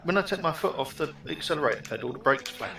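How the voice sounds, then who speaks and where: A man's voice: a little high, expressive, quick pace, vocal fry, a crowd in the background. A man with a London accent chatting casually during a racing game, blunt and sweary. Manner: blunt and sweary, defends his own driving. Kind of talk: casual gaming chat. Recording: roomy, distant mic with music behind.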